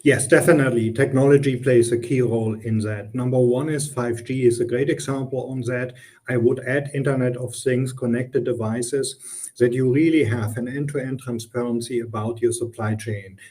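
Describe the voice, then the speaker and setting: A man's voice: medium pitch, steady tone, moderate pace, warm tone. A middle-aged man from Germany with a German accent, explaining business topics earnestly in an interview, with frequent ums and restarts. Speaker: guest consultant.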